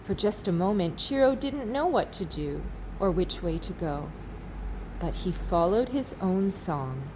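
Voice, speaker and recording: A woman teacher reading a children's storybook aloud expressively, with dramatic pauses. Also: on the low side, animated, noisy background. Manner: slow, dramatic pauses, asks listeners questions.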